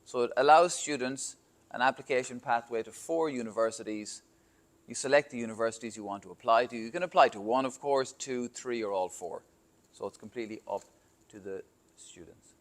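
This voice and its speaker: A man's voice: mid-range voice, brisk. An Irish man speaks to students and parents about applying to university. He keeps it conversational, with fillers and asides to the audience. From Ireland. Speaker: university admissions representative. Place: school hall or classroom.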